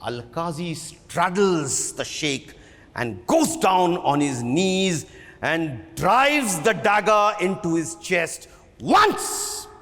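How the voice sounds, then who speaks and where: A man with an Indian accent gives a dramatic literary reading, theatrical and emphatic, with dramatic pauses. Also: high-pitched, lively intonation, a bit bright. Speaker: stage actor giving a dramatic book reading. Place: large auditorium at a live reading. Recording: echoey hall with long reverb.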